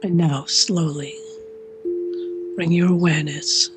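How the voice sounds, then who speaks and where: A woman guides a meditation at a very slow, unhurried pace. Her voice is soft and soothing, turning breathy at times, and she leaves long spaces between short phrases. Music plays clearly behind her. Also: low-pitched, fairly even intonation.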